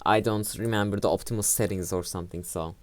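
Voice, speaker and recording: A woman's voice: rumbling low, fast, a little mellow, no room sound. A young woman hosting a let's play, giving casual, rambling and hesitant game commentary on a home computer mic.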